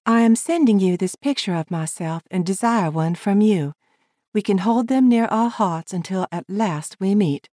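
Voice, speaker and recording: A woman's voice: medium pitch, animated, normal speed, mellow, no room sound, studio quality, pitched above the performer's own voice, close to an everyday voice. A woman voicing a polite, earnest young frontier lady who speaks formally.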